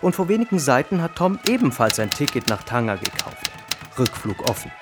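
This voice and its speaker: A man's voice: mid-range voice, sing-song, a little fast, natural voice. An actor playing a suave fictional killer who needles his author in a radio play, terse and probing, over music. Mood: self-questioning. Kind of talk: literary radio play dialogue.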